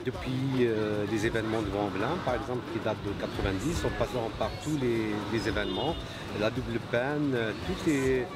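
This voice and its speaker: A man's voice: on the low side, a little fast, heavy background noise. A man speaking as a community activist in a documentary interview, earnest, with long searching sentences and fillers. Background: loud music.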